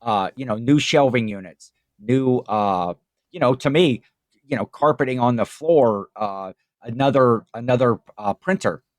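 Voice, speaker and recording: A man co-hosting a casual podcast chat, his voice mid-pitched for a man. He rambles through his points with fillers and restarts. The recording is dry and close, with no room sound. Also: a little slow, dry recording. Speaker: podcast co-host.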